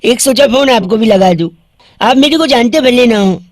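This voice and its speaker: A radio host playing Bauaa, a cheeky young prank caller who teases people with wordplay and puns. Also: male voice, very high voice, moderate pace, no echo, studio quality, pitched above the performer's own voice. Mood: teasing. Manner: teasing, playful, wordplay jokes.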